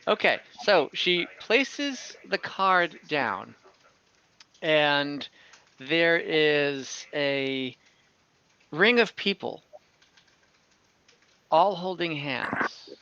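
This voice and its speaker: Bright-voiced male game master. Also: a little high, drawn-out words, pauses often, smooth, muffled recording. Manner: relaxed, describing actions step by step with fillers. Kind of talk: narrating an online tabletop game.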